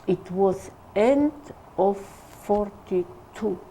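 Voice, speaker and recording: Older woman recalling slowly. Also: mid-range voice, mellow, a little hiss or hum. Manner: halting, searching for English words. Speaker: interviewee. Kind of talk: oral history testimony.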